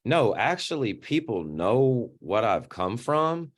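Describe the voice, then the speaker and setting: A middle-aged man with a deep voice, speaking as an interview guest in an earnest, reflective way and often repeating words as he starts a sentence. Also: very animated, slow. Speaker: interview guest, youth organization founder.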